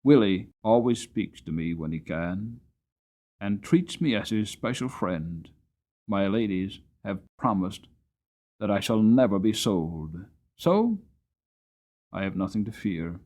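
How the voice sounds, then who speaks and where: Slow, expressive male storybook narrator. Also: on the low side. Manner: expressive, deliberate. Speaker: audiobook reader.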